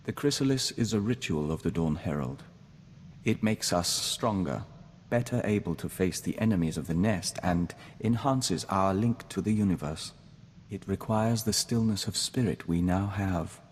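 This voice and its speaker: Low-voiced, serene mystical diplomat. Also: male voice, a little mellow, in a large hall, a little hiss or hum. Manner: measured, formal, speaks of himself as we. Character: serene, courteous male hive-bonded diplomat, mystical and devoted. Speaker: companion character in a video game. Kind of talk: scripted game dialogue.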